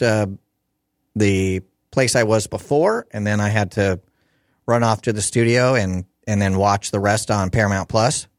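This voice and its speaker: A man hosting a call-in radio show, talking casually with lots of fillers and restarts, his voice hoarse. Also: mid-range voice, no echo, studio quality. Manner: rambling, chatty, many fillers and restarts.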